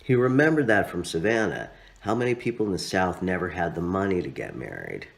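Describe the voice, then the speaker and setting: A man reading his own literary story aloud as narrator, with expressive, dramatic phrasing and drawn-out words. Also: low-pitched, vocal fry.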